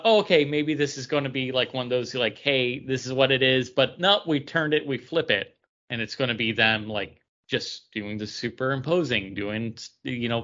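A man's voice: medium pitch, fairly bright tone, dull audio. A man chatting casually as a podcast co-host reviewing comic books, rambling along and acting out his own thoughts.

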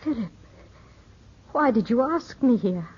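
An actress in a 1950s radio drama playing a poised, enigmatic middle-aged widow, speaking in a measured, intimate, restrained way. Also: female voice, fairly high pitch, very animated, darkish tone, slightly rough, full character voice. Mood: shaken, probing. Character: poised, enigmatic widow of about thirty-five, wounded and gracious. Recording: dull, muffled old radio recording.

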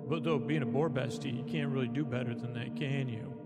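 A man hosting a sleep podcast, rambling in a loose, meandering way that drifts softer and quieter. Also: medium pitch, fairly even intonation, fairly quick, vocal fry, over loud music. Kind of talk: rambling casual monologue.

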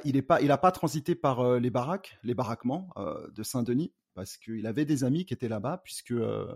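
A man from the Paris suburbs talking casually as an interview guest, in a colloquial, halting way. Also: medium pitch, a little mellow. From Paris suburbs, France. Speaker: guest, rap artist.

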